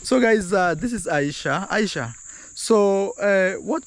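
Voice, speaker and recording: Vlogger host, slightly high, gravelly voice; casual, talks straight to viewers outdoors. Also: male voice, lively intonation, takes it slowly. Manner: addresses viewers as guys. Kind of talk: casual travel vlog. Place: outdoors on a road near a beach.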